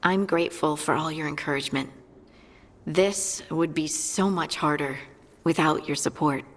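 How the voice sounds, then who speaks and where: A woman voicing an earnest, troubled young heroine with a husky voice in a video game. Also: low voice, moderate pace. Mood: downcast, unsure. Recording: long reverb, faint music.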